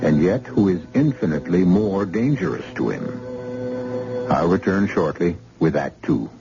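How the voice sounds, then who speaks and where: Male radio narrator, low and expressive, solemn, loud music under a dull old broadcast. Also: unhurried, creaky. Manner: solemn, dramatic. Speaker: drama narrator.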